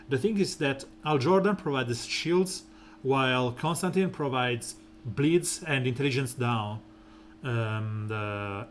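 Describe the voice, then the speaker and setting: A man streaming a mobile game, talking through team strategy in a relaxed, rambling way with lots of fillers and a soft, smooth voice. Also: slight background noise. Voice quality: soft, smooth and deep when slow. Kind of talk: casual gameplay commentary.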